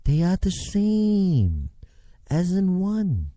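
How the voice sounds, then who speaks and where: A man putting on the voice of a condescending know-it-all, speaking in a slow, drawn-out, lecturing way. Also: sits high, huge pitch swings, warm tone, dry recording, exaggerated voice. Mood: scornful.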